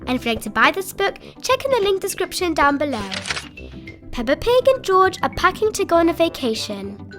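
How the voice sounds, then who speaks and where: A woman's voice: very high-pitched, big pitch swings, a little fast, over music. A woman reading a storybook aloud expressively for small children. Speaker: storybook reader.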